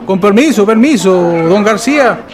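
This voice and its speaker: Man acting a high-pitched grumbling Chilean husband. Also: lively intonation, moderate pace. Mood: exasperated. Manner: exclaiming, complaining. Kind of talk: radio play acting. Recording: music and crowd behind. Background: outdoor fair crowd and music.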